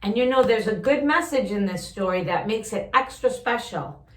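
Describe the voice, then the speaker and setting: A woman reading a picture book aloud to young listeners, with expressive drawn-out emphasis and chatty asides. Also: medium pitch, echoey room. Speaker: children's story-time host reading a picture book aloud.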